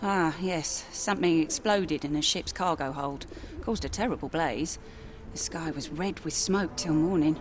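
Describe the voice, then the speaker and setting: Game tavern keeper: plain-spoken, guarded, slightly low pitch, light music behind. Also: female voice, measured pace, few pauses. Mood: wary, matter-of-fact. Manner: wary, matter-of-fact. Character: guarded, plain-spoken pregnant barkeeper of a Victorian port tavern. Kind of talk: video game cutscene acting.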